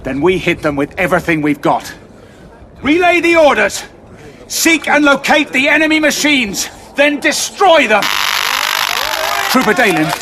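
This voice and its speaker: Brief: a stern, high-voiced commander barking orders, with war noise behind. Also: male voice, sing-song, a little slow, long pauses, pushed and bright, heavily acted. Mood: commanding. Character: hard-pressed military commander, brusque and stern. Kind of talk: audio drama acting. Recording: battle and vehicle noise behind.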